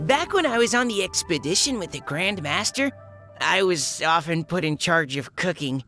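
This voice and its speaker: A woman's voice: fairly low pitch, animated, moderate pace, sharp tone, soft background music. An actress playing an eager young woman adventurer who cooks for her party, in a video game cutscene.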